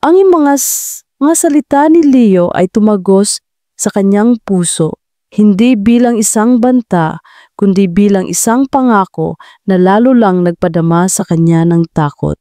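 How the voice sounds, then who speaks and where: A woman tells a scripted audio drama in a measured, dramatic way. Her voice is warm and mellow. The sound is dry and close to the mic. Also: medium pitch, expressive, no room sound. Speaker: storyteller.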